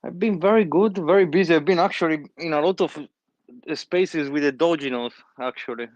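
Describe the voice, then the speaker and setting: A man talks quickly and in a friendly way as a guest on a live online audio chat. The audio is dull and muffled, with a completely dry sound and no room around him. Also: a little high, no room sound. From Italy. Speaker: guest speaker.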